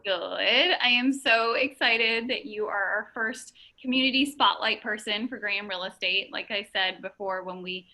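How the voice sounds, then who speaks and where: A woman's voice: mid-range voice, normal speed, few pauses, sharp tone. A young woman hosting an interview over a video call, chatty and upbeat, with lots of fillers. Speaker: interview host.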